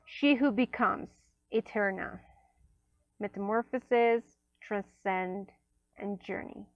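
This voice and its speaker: A woman whose first language is Spanish, casually reviewing an oracle deck at a table, chatty, with many fillers and soft near-whispered asides. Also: medium pitch, takes it slowly, dry recording, highs cut off. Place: indoors at a table.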